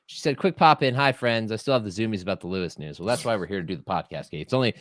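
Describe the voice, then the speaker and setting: A man co-hosting a casual podcast, animated and joking, laughing often. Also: on the low side, racing, dry recording, studio quality. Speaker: podcast co-host. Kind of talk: casual chat.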